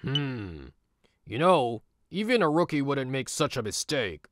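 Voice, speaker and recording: A man's voice: mid-range voice, sing-song, measured pace, dry recording, studio quality. An actor playing a stern, scolding male office boss in a manga video dub. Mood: displeased.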